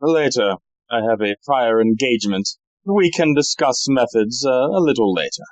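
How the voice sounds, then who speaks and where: An actor playing a suave, scheming gentleman thief in an audio play, with an unruffled, smooth-talking manner. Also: mid-range voice, expressive, moderate pace, no echo, highs cut off, close to an everyday voice. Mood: suave, brisk.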